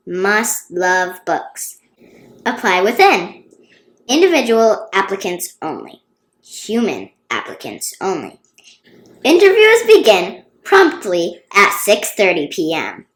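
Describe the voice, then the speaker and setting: A woman's voice: mid-range voice, huge pitch swings, slow pace, fairly bright tone, heavily acted. A woman voicing a bossy little storybook girl, announcing emphatically with stretched-out words. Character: bossy little girl posting job notices. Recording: roomy, echoey sound.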